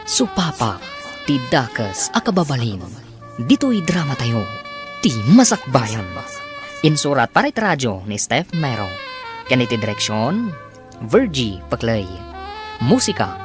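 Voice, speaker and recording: A woman announces a radio drama in an Ilocano accent, reading scripted credits dramatically. Her voice is extremely low for a woman and moves with lively intonation. Music plays clearly behind her.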